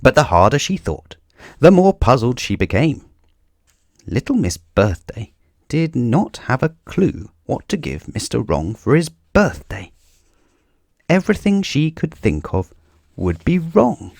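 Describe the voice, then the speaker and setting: A man reading a children's story aloud in an expressive narrating style. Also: medium pitch, a little slow, vocal fry, no room sound, studio quality.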